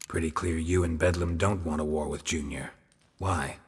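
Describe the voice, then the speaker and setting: An actor playing a terse, stoic monster-hunting mercenary in a video game, deadpan and clipped. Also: male voice, a bass voice, moderate pace, breathy edge, exaggerated voice. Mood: deadpan, businesslike. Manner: laconic, deadpan, clipped sentences. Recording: music behind the voice.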